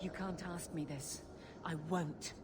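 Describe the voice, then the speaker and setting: An actress playing a stern, fiercely loyal warrior wife in a video game, forceful and blunt. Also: female voice, lowish voice, steady tone, slow pace, very noisy. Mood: anguished, angry.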